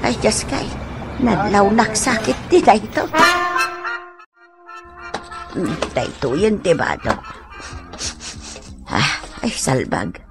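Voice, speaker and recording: A woman's voice: mid-range voice, sing-song, slow, long pauses, loud music behind the voice. A woman with an Ilocano accent playing a stubborn, plaintive old beggar mother in a radio drama, exclaiming and wailing. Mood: fussing, grumbling.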